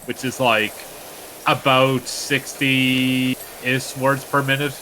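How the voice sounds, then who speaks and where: Bright-voiced wry young man. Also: medium pitch, slow, slight background noise, rough recording. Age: late 20s. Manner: wry, deadpan-ish humor with frequent fillers. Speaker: co-host. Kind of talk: casual chat while gaming.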